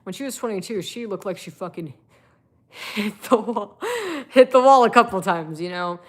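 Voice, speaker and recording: A woman's voice: mid-range voice, normal speed. A woman in her twenties giving a blunt, chatty live-stream monologue, full of fillers and swearing. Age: 20s.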